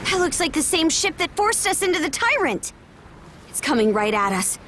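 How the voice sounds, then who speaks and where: Bold young sea-warrior woman, game voice, high, animated, faint ambient noise. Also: normal speed. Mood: urgent, commanding. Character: bold, commanding young female seafaring warrior. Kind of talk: scripted video game voice acting.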